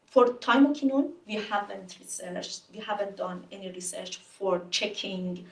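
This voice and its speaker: A woman's voice: mid-range voice, normal speed, distant mic. A woman whose first language is Farsi, a researcher answering audience questions after her talk, hesitant and full of fillers. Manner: hesitant, many fillers, searching for words. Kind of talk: academic Q&A. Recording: echoey room, voice from off-mic.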